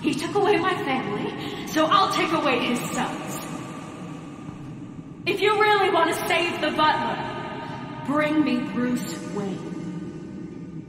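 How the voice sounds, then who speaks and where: An actress playing a vengeful, fanatical masked villain in a video game, theatrical, mocking and full of menace. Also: female voice, sits high, fairly bright tone, slight background noise, full character voice. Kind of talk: dramatic video game acting. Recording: echoing stone tunnels, distant, music behind.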